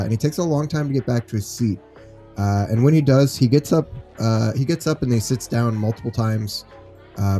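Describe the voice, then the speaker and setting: A man playing an easygoing, concerned high school teacher in a role-played case study, speaking informally and hesitantly with many fillers. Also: warm tone, close to an everyday voice.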